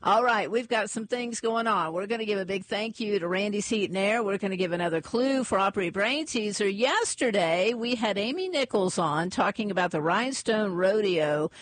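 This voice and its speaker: A woman's voice: medium pitch, expressive, no room sound. A woman hosting a morning radio show, chatting casually with a co-host and reading sponsor spots and community notices. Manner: chatty, laughs easily, reads ads and community notices briskly. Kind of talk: casual on-air chat and live sponsor reads. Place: radio studio. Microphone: studio microphone.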